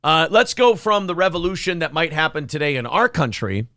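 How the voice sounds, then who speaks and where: A man's voice: fairly high pitch, a little fast, vocal fry, pushed and bright, dry recording, studio quality. A man co-hosting a morning show with casual, profane comedic banter about the news. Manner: profane, joking.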